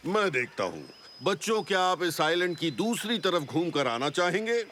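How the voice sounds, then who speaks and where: A man voicing a cheerful, obliging guide for children in an animated comedy. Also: sits high, moderate pace, slight background noise. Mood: friendly.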